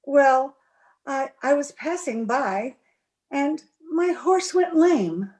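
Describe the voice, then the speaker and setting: Young gentlewoman for radio play, played by a woman: very high voice, unhurried pace, prim, earnest, defiant. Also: lively intonation. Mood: shy, smitten. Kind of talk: old-time radio drama acting.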